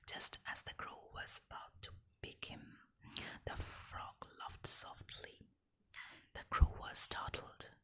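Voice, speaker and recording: A woman softly reading folk tales and fables aloud in a gentle whisper. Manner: soft, slow, gentle whispering. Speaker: storyteller. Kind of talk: whispered ASMR story reading.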